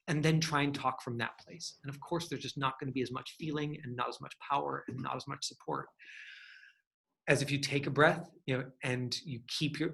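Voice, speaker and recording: A man's voice: medium pitch, gravelly, fairly bright tone, poor audio. A man working as an acting coach, teaching and answering questions in a rambling, encouraging, conversational way. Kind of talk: casual online acting lesson with question and answer.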